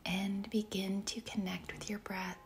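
Slow, whispery woman guiding meditation. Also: mid-range voice, level tone, slight background noise. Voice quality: soft, breathy, whispery. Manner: gentle, deliberate, with long pauses between short phrases. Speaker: meditation guide. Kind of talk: guided meditation, slow and soothing narration.

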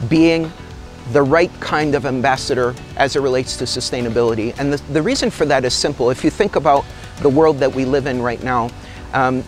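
A middle-aged man, an executive from Canada, talks steadily and thoughtfully, weighing his words as he gestures. His voice sits at an ordinary pitch, recorded close on a lapel mic outdoors, with soft music faintly underneath. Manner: measured, articulate, gestures while talking. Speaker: company executive. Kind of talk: corporate interview. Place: outdoor tropical garden.

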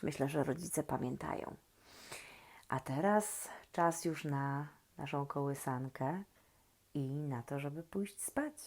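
A woman reading a children's story expressively and talking gently and directly to young listeners. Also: deep voice.

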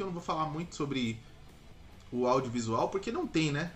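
A man's voice: fairly high pitch, a bit bright. A young adult Brazilian man giving casual, slangy, conversational commentary as the host of a reaction video.

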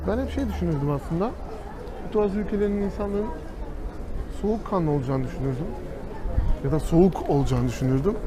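A man's voice: fairly high pitch, animated, a little fast, pauses often. A man walking through a city and chatting to his viewers, calling them friends as he points things out. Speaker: travel vlogger. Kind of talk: casual travel vlog commentary. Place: outdoors in city streets. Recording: noisy outdoor street recording. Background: street noise and passing vehicles.

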